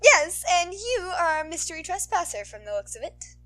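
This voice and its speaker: A woman voicing a playful adult superheroine with a soft, honeyed manner and quiet authority, kind to children, in a storybook reading. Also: very high-pitched, very animated, moderate pace, voice pushed up, exaggerated voice. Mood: teasing.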